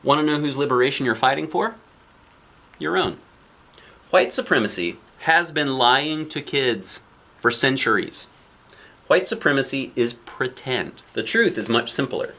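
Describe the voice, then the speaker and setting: Expressive man reading a picture book. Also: medium pitch, vocal fry. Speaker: picture book reader, dad. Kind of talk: read-aloud with casual asides to children.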